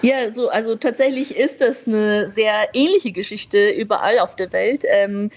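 A woman's voice: medium pitch, a little slow, a little mellow. Female interview guest, native Mandarin speaker from Beijing and Vienna. Middle register, casual explanatory delivery. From born in Beijing, grew up in Vienna from age seven. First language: Mandarin Chinese. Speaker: interview guest, food tour guide.

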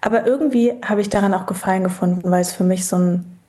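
A woman in her thirties from Berlin, a guest talking in a relaxed, reflective way with many pauses and fillers. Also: medium pitch. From Berlin, Germany. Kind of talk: casual interview chat.

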